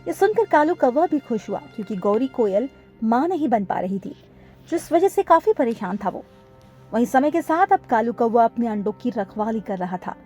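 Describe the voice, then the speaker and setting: A woman's voice: fairly high pitch, very animated, moderate pace, mellow, slightly rough, soft background music. A woman reading scripted narration for a children's cartoon story.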